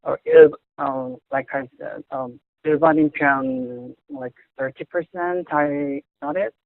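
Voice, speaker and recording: A young Korean man speaking English with a Korean accent as an interviewee, halting and searching for words, often restarting. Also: a little high, fairly even intonation, no room sound. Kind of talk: casual conversation in English. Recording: tinny phone-like audio.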